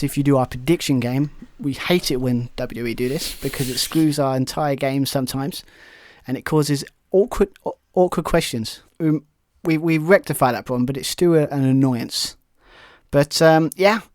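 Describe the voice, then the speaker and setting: A man's voice: fairly high pitch, moderate pace, no echo. A man from Britain co-hosting a wrestling podcast, talking casually in rambling, self-correcting, opinionated stretches. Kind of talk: casual chat.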